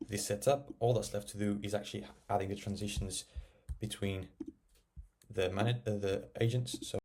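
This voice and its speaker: A man narrating a casual software tutorial, explaining and thinking aloud as he works. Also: lowish voice, fairly even intonation, normal speed. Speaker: tech tutorial presenter.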